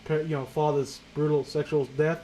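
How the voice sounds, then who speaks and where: Guy with a highish voice reading a story casually, sarcastic asides, stumbles and swears, bit of background noise. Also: level tone, mellow, low-quality recording. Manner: sarcastic, halting, swears freely. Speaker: co-host reading fan fiction aloud. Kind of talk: casual comedic reading with ad-libbed commentary.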